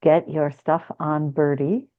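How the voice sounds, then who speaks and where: Low-voiced woman, narrow muffled sound. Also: little pitch movement, takes it slowly. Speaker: podcast guest; spiritual guide working with clients. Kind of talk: conversational spiritual talk.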